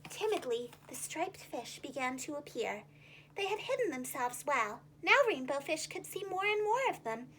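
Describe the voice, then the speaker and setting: A woman telling a children's story in an animated, expressive teacher's style. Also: sits high, normal speed, a little hiss or hum, poor audio.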